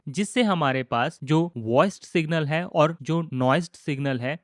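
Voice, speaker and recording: A man with an Indian accent giving a methodical step-by-step software tutorial as an instructor. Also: a little high, no room sound, clean studio sound.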